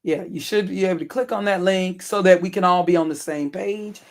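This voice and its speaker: A livestream host with a hoarse, deep, worn voice, chatting casually with viewers, rambling and correcting themselves with frequent chuckles and ums. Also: a little fast.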